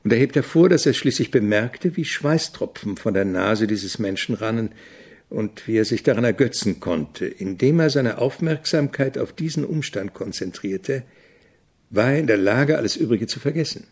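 An actor playing an opinionated, irritable avant-garde composer in a German radio drama, speaking in a didactic, deliberate way with a gravelly, husky voice. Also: male voice, mid-range voice, animated, a little mellow. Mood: reflective, didactic.

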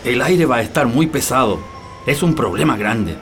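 Radio drama conspirator: prisoner, careful and concerned, mid pitch with animated swings. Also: male voice, moderate pace, gravelly. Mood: concerned, prudent. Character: prudent, concerned male political prisoner plotting escape. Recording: music behind the voice.

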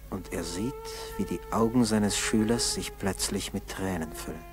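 A man narrating a 1960s German school radio play in a solemn, measured, scripted style. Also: low voice, over music. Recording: 1960s radio tape with background noise.

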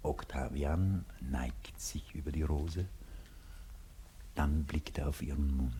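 An actor serving as the calm narrator of a 1960s radio drama, reading scripted stage directions. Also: male voice, rumbling low, takes it slowly. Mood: hushed narration. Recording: 1960s radio recording, faint hiss.

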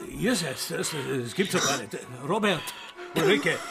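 A man's voice: fairly high pitch, expressive, a little slow, creaky. Flustered, pleading old father. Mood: bewildered, flustered. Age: older. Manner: stammering, pleading. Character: flustered old father held up by his children. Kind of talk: radio play acting.

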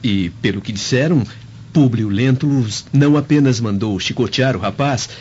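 An actor playing a sly, insinuating servant with false humility in a Brazilian radio drama, with a Brazilian accent. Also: male voice, mid-range voice, normal speed, darkish tone, slightly rough, highs cut off, natural voice. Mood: sly, deferential. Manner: deferential, insinuating.

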